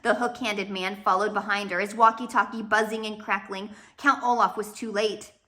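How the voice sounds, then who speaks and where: A woman narrating a children's storybook aloud in an expressive, scripted reading style. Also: mid-range voice, normal speed. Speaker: audiobook narrator.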